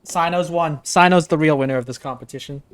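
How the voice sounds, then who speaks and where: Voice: mid-range voice, brisk, smooth. A streamer giving casual reaction commentary on a game, repeating phrases in an informal, exclaiming way.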